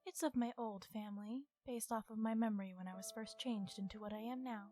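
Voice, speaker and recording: A woman voicing a centuries-old vampire in an ASMR roleplay, stern, irritated and possessive, with a measured, formal and often hushed delivery. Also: on the high side, steady tone, soft background music, lightly acted. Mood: stern, composed.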